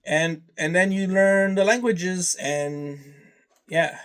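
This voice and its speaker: A man whose first language is Serbian, talking slowly and rambling through a casual vlog, trailing off into long lists. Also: high voice, fairly even intonation, fairly bright tone, clear, resonant tone. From Canada. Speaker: vlogger.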